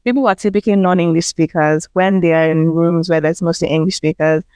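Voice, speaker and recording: A young woman with a Nigerian accent talks earnestly on a podcast, acting out her own thoughts as she goes. Her voice is smooth and even-toned. The sound is dry and close. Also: fairly low pitch, quick pace, no echo. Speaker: podcast host. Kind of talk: casual chat.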